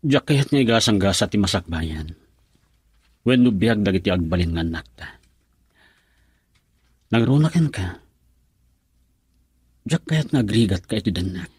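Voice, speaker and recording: A husky, earnest young boyfriend. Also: male voice, lowish voice, expressive, measured pace, long pauses, darkish tone, no room sound, studio quality, heavily acted. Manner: earnest, defensive. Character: hesitant young boyfriend, earnest, fearful of poverty. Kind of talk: radio drama acting in Ilocano.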